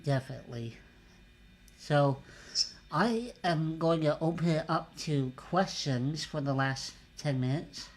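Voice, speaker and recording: A man hosts a casual livestream interview. He talks in a relaxed, conversational way, and his voice is a little higher than most men's. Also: slow. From California. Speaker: interview show host.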